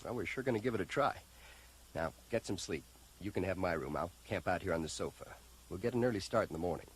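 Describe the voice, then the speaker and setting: An actor in a science fiction audio drama playing a resolute, practical man leading an escape, brisk and commanding. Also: low voice, expressive, a little hiss or hum, rough recording. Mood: calm, explaining.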